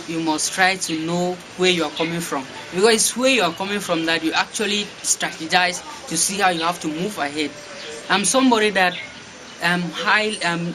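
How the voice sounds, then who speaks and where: A young Cameroonian man with a Cameroonian English accent, giving interview answers with many fillers and restarts. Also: high-pitched. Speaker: guest. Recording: noisy background.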